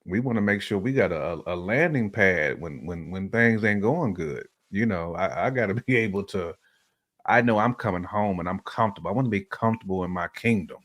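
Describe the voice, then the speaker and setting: A man's voice: low voice, expressive, a little fast, a bit bright. An American man chatting casually on a relationship podcast, using slang and repeating phrases for emphasis.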